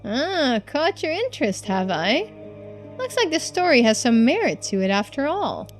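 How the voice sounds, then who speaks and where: A woman voicing a gruff, greedy older collector obsessed with immortality, talking bluntly and dismissively in video game dialogue. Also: sits high, sing-song, normal speed, soft background music. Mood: smug, obstinate. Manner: dismissive, blunt, folksy speech.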